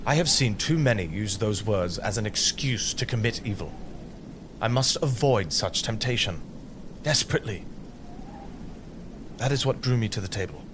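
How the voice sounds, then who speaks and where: An actor playing an earnest, virtuous knight with a tormented sense of honor in an audio drama. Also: male voice, on the low side, moderate pace, pauses often, background noise, lightly acted. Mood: solemn, pained. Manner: earnest, solemn, expressive.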